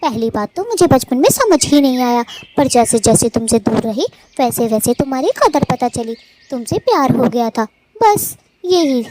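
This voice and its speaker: High, lively, vain young female bird voiced by a woman. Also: moderate pace, noisy background. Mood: shy, loving. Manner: coquettish, self-admiring, chatty. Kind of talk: animated story dialogue.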